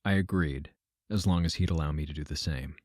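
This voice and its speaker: A man playing a weary, reflective narrator telling his story in measured audio drama narration. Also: a bass voice, slight background noise. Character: weary male narrator recounting meeting his double. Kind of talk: scripted first-person audio drama narration. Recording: loud music under the voice. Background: music.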